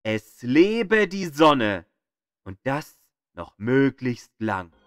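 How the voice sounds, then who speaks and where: A man reading scripted educational narration like an audio tour guide, with an expressive storytelling delivery. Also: mid-range voice, very slow, smooth, dry recording, clean studio sound.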